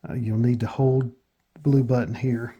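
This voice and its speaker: An American man hosting a casual step-by-step tutorial in a plain, matter-of-fact way with hesitant pauses. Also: medium pitch, little pitch movement, warm tone, slightly rough, dull audio. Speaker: tutorial host.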